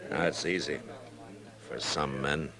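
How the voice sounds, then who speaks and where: An actor playing a stern, laconic frontier marshal in a measured 1950s radio western style. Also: male voice, very deep voice, steady tone, vocal fry, exaggerated voice. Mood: grave, calm. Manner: measured, understated, firm. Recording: old radio drama, murmuring crowd behind.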